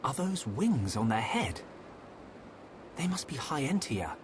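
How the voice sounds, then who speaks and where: An earnest young male hero from a fantasy game. He sounds sincere and trusting, with a mid-pitched, very lively delivery over faint ambience. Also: unhurried, noisy background. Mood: curious. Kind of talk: video game cutscene acting.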